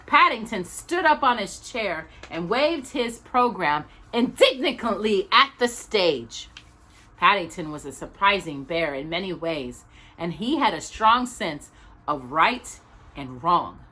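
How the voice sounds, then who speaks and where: A woman reading a children's storybook aloud as narrator, expressive and emphatic. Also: mid-range voice. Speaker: storytime reader.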